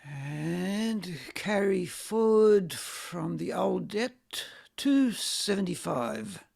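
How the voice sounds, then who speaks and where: A woman playing a miserable middle-aged man in an amateur play reading, creaky, weary and halting. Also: mid-range voice, expressive, darkish tone, exaggerated voice. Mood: weary, flat.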